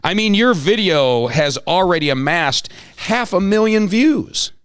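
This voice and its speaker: A man playing a flustered, long-winded, greedy school principal in an amateur comic table read, halting and exclamatory. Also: high voice, lively intonation, a bit bright, clear, resonant tone, no echo, clean studio sound, pitched above the performer's own voice. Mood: eager, scheming.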